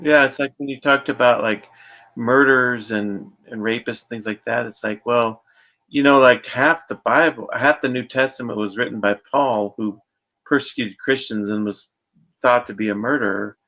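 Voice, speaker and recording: A man hosting an interview podcast, talking in a relaxed, conversational way with fillers like "you know". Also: mid-range voice, normal speed, low-quality recording. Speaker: podcast host. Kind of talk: casual interview chat.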